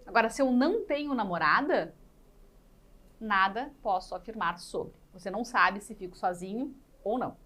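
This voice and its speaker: A woman's voice: mid-range voice, lively intonation, normal speed, bright, forceful tone. A woman teaching an exam-prep class step by step, repeating key points and putting questions to learners. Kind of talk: live lesson explaining exercises step by step.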